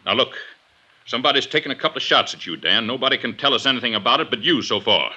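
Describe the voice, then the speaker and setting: An actor playing a gruff, middle-aged, gray-haired police inspector in a 1950s radio drama, blunt and insistent. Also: male voice, medium pitch, animated, quick pace, sharp tone. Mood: stern, exasperated. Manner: blunt, insistent, clipped questioning. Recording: dull vintage 1950s radio recording.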